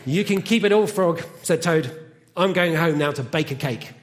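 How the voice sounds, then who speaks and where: A man voicing an excitable, pleading toad from a children's storybook. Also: on the high side, normal speed, natural voice. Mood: grumpy. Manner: pleading, exclaiming. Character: excitable male toad with weak willpower, storybook character.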